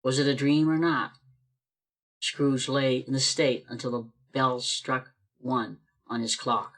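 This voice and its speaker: A man's voice: mid-range voice, little pitch movement, measured pace, fairly bright tone. A man narrating a radio play as a scripted storyteller.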